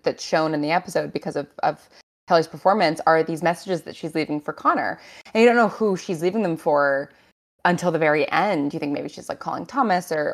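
A woman explaining her work as a writer on a panel, casual and thoughtful, halting as she thinks. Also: low voice.

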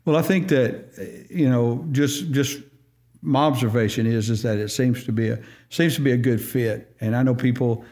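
A man's voice: medium pitch, normal speed. Church podcast host guy, easygoing folksy chat, lots of yeahs. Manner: relaxed, folksy, frequent affirmations. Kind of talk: casual church podcast interview.

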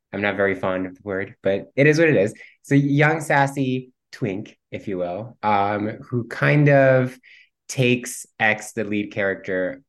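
A man whose first language is Spanish, chatting casually as a guest actor, playful with many ums and easy laughs. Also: lowish voice. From Cuban heritage. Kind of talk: casual interview chat.

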